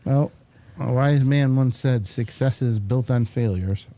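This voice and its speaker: Mellow, halting man chatting casually. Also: medium pitch. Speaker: talk show host. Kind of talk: casual conversational interview.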